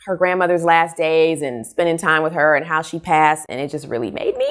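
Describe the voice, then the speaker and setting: Lower-pitched, expressive American woman. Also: brisk. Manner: expressive, chatty, informal. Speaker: book vlogger, host. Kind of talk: casual chat to camera, book review. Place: small furnished room at home.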